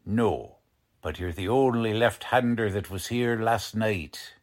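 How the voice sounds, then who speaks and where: Slow, gruff 1940s police lieutenant, played by a man. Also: on the low side. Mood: accusing, impatient. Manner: slangy, ungrammatical tough-cop talk, accusing. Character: gruff, hard-boiled 1940s police lieutenant, impatient and bullying. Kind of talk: 1940s radio drama acting.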